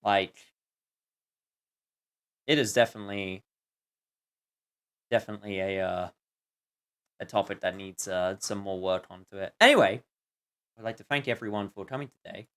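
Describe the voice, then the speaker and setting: A man's voice: lowish voice, moderate pace, pauses often, a bit bright. A man giving casual live gameplay commentary as a streamer, rambling and searching for his words. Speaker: game streamer.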